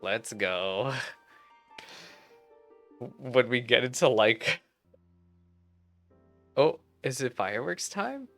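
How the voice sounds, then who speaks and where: A man who streams an online fantasy game, chatting casually and excitably with gushing, teasing commentary. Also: mid-range voice, very slow, gravelly, pauses often, faint music behind. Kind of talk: casual game commentary mixed with reading dialogue aloud.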